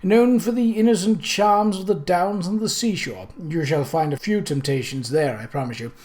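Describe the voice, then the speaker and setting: A man voicing a suave, wealthy older gentleman who is courtly, effusive and wryly amused in an audiobook. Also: sits high, moderate pace, close to an everyday voice.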